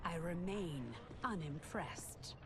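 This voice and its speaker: Haughty, low-voiced warrior empress. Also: female voice, takes it slowly, heavy background noise. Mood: cool disdain. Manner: formal, haughty, firm. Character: proud, resolute warrior empress, coolly unimpressed. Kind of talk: video game cutscene acting.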